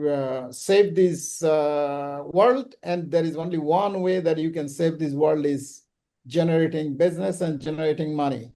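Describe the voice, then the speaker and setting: A man hosting an online business summit, talking casually and haltingly with many ums and uhs over a video call. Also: on the high side, mellow. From Bangladesh. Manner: hesitant, full of ums and uhs. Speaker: summit host.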